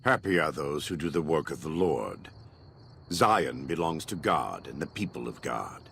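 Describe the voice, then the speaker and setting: An actor playing a devout, vengeful former warlord in a video game, speaking in a solemn, measured, preacherly way with a gravelly voice. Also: male voice, a bass voice, sing-song, fairly bright tone, exaggerated voice. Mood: solemn, resolute. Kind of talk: video game dramatic dialogue.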